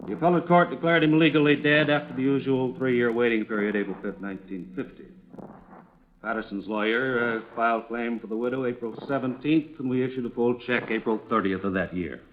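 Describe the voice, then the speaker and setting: A man's voice: medium pitch, a little fast, natural voice. An actor playing a careful, earnest American insurance official in 1950s radio drama, methodical and a little hesitant as he explains. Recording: muffled, noisy old radio recording with clicks.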